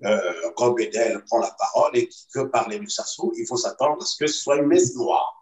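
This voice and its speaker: A man defending his party in a video-call debate, measured and full of hesitations. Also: medium pitch, expressive, darkish tone. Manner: measured, hesitant with many fillers, insistent. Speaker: party activist guest. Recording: roomy echo, distant from mic.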